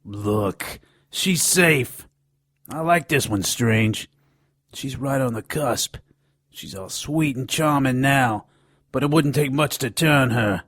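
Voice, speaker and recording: An actor playing a lecherous, sardonic gnome informant in an audio drama, leering and wisecracking in a gravelly voice. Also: male voice, medium pitch, takes it slowly, a bit bright, dry recording, clean studio sound. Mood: intense, sly.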